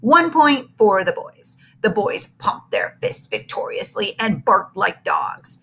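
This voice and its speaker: A woman reads a children's chapter book aloud, telling the story expressively. Her pitch moves a lot, bouncing up and down through the sentences. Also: sing-song, slow, a bit bright, slightly rough. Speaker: narrator.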